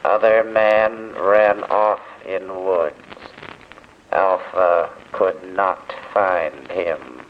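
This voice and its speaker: A man playing an obedient killer robot in an old radio drama, speaking in flat, clipped, broken sentences. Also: deep voice, very slow. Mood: flat, impassive. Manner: flat, clipped broken sentences naming himself in third person. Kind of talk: 1940s radio acting. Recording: muffled, noisy old radio recording.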